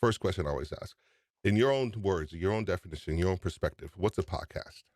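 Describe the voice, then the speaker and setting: Man with a New York accent, lower pitch, energetic live-stream host, dry close sound. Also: fast, dry recording. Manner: energetic, greets chat viewers by name. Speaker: podcast livestream host. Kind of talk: casual live-stream chat.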